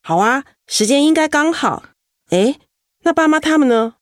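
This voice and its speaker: Gentle, slightly high-voiced young wife. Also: female voice, animated, moderate pace, dry recording, clean studio sound. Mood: pleased. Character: gentle young wife, cancer survivor, putting on brave face. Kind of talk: radio drama acting.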